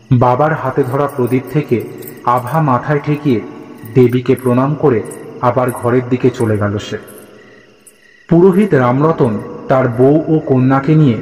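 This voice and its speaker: A man narrating a scripted horror story in a dramatic, deliberate way. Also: medium pitch, darkish tone. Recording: echoey, reverberant, music behind.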